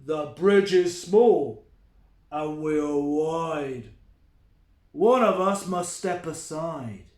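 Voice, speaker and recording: Man playing a loud, grumpy, drawling bridge troll. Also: fairly high pitch. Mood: roaring. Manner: roaring, drawn-out, emphatic delivery. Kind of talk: children's storybook character voice. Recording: roomy, echoing indoor sound.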